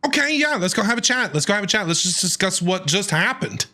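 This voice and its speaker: A man giving casual, animated, exclamatory reactions as he streams a video game. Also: sits high, a little fast, slight background noise. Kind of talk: excited gameplay reaction commentary. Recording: game music behind voice.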